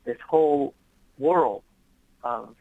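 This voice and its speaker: A man's voice: mid-range voice, slow pace, dry recording. A man from Israel, a cantor, telling his story fluently and earnestly in a radio interview by phone. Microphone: telephone. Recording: muffled phone line.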